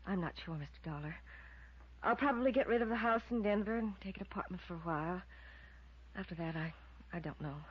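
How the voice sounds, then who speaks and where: A woman's voice: on the low side, brisk, slightly rough. A woman playing a reserved, polite widow in a 1950s radio drama, hesitant and formal. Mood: subdued, guarded. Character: reserved, polite recent widow with guarded nerves. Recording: muffled old radio recording.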